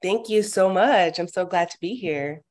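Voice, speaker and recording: A woman's voice: lowish voice, moderate pace, a little mellow. A young woman from Miami, a talk show guest, speaking in a friendly, reflective way with many fillers as she recalls her upbringing. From Miami, Florida. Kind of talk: interview conversation.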